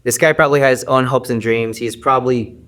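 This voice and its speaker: Man, podcast guest, mid-pitch, chatty and rambling with lots of fillers. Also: fairly even intonation, fast talker, fairly bright tone. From United States. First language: Mandarin. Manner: rambling, many fillers and restarts. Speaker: actor guest. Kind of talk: casual interview talk.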